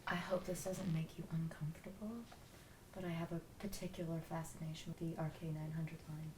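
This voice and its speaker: A woman playing a polite, flattering android with a sinister streak, speaking deliberately at a script rehearsal. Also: deep voice, natural voice. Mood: smooth, probing. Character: smooth, flattering, secretly sinister female android admirer. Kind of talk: table read of a fan film script. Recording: noisy room recording.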